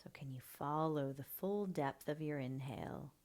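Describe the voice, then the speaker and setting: A woman leading a guided breathing meditation, speaking softly and slowly, often half-whispering. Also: low-pitched. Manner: slow, soft and soothing, often half-whispered.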